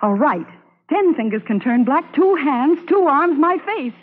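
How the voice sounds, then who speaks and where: Determined young army nurse in old radio drama. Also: female voice, high-pitched, big pitch swings, measured pace, phone-quality sound. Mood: eager, insistent. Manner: earnest, resolute. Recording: band-limited vintage radio recording.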